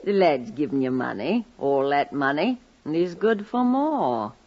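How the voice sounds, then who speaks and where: A woman's voice: low-pitched, very animated, a little slow, exaggerated voice. A brawny, scheming wife in a radio drama. She draws her words out slyly with big swings in tone. The sound is dull, like an old broadcast.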